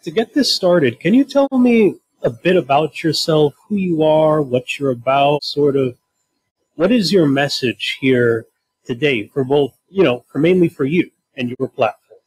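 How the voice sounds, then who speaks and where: A man's voice: on the high side, lively intonation, measured pace, darkish tone, slightly rough, dry recording, poor audio. A man hosting a podcast interview, asking long, meandering questions with hesitations. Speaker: podcast host.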